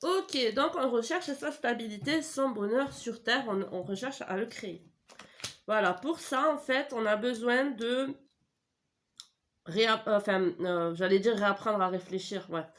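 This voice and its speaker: A woman's voice: on the high side, unhurried, fairly bright tone. A middle-aged woman with a stuffy head cold, giving a casual tarot reading at home into a desk mic, rambling and full of hesitations and self-corrections. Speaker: tarot reader. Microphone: desk microphone.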